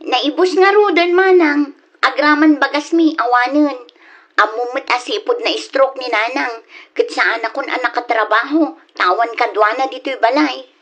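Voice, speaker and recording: A woman's voice: high-pitched, normal speed, a bit bright. A woman acting a pleading younger sister who cares for an ailing mother in a radio drama. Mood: pleading, worried. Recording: dull, lo-fi phone-call sound.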